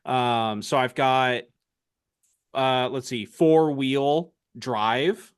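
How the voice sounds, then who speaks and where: A man's voice: medium pitch, extremely slow, clear, resonant tone, no room sound, clean studio sound. A man co-hosting a woodworking podcast, chatting casually and joking dryly about his shop projects. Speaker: podcast co-host. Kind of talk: casual chat.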